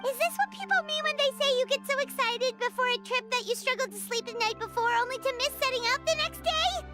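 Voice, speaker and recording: A woman voicing a tiny, excitable, childlike girl companion in an anime game, exclamatory and dramatic. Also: extremely high pitch, fast talker. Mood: panicked. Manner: exclamatory, refers to self in third person. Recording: loud music behind the voice.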